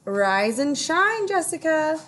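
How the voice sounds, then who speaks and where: A woman's voice: high-pitched, very animated, slow pace, fairly bright tone, slight background noise, pitched above the performer's own voice, full character voice. A woman voicing a little five-year-old girl who coaxes her imaginary friend. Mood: coaxing.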